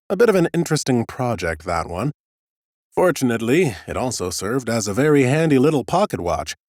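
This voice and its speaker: A man narrating an audiobook in the first person as an ancient undead scholar, a fussy, smug, whimsical gentleman with a theatrical, drily humorous delivery. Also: medium pitch, no room sound, studio quality. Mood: self-satisfied.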